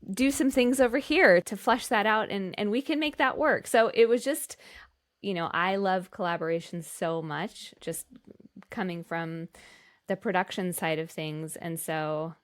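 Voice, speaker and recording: A woman's voice: medium pitch, a little slow. A woman co-hosting a podcast, talking in a casual, enthusiastic and effusive way. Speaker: podcast co-host. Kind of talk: casual conversational discussion.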